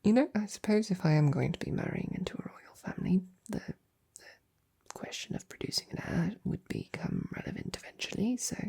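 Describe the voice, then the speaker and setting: A woman playing a loving, flustered lady knight in an intimate roleplay audio drama, speaking softly and haltingly with tender, teasing affection. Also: medium pitch, wildly swooping pitch, in a whisper, heavily acted. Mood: flustered, curious. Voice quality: soft, breathy, husky. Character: loving, flustered female royal knight courting a princess.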